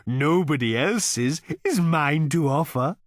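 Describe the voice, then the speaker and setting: Big placid cow for an audiobook, pitched up and really drawn out, super polite waiter manner. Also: male voice, expressive, dry recording, clean studio sound. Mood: contented, ingratiating. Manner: slow, drawn-out, obsequious lowing. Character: large placid talking cow, ingratiatingly polite waiter-like, eager to be eaten.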